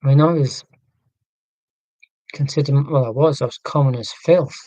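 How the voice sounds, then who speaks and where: A middle-aged man with a neutral southern English accent, talking slowly and deliberately in a casual, reflective monologue. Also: mid-range voice, mellow, no room sound. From Scotland, raised in England. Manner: slow, deliberate speech with pauses. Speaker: vlogger.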